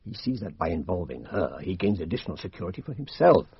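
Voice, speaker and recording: An actor playing a blunt, down-to-earth British police inspector in a radio mystery, speaking colloquially and with stubborn confidence. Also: male voice, deep voice, very animated, quick pace, creaky, husky, no echo, exaggerated voice. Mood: briskly confident. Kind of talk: radio drama acting. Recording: dull, dry radio drama recording.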